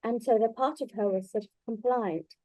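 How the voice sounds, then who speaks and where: A middle-aged or older native Bengali-speaking woman giving a reflective, conversational talk, pausing and feeling her way through her thoughts. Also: a little high, dark tone, no echo, low-quality recording. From Bangladesh in early childhood, then moved abroad. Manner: hesitant, thoughtful, halting phrasing. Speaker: invited speaker at a book launch.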